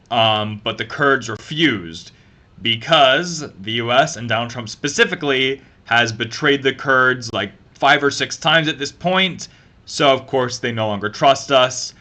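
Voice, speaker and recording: A man's voice: mid-range voice, pushed and bright. A man giving an opinionated, sarcastic political commentary rant. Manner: sarcastic, fast-talking.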